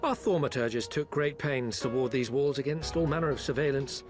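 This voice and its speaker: An actor playing a smooth-talking, foppish male merchant agent in a game cutscene, speaking in a theatrical, flowery, ingratiating way. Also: mid-range voice, a little fast, lightly acted. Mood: explaining. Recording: with background music.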